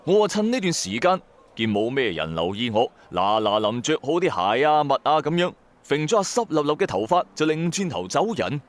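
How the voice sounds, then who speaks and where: A man with a Hong Kong Cantonese accent telling a first-person story in an expressive, colloquial way, with chatty asides to the listener. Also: on the high side, moderate pace. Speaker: storyteller, narrator. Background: background music.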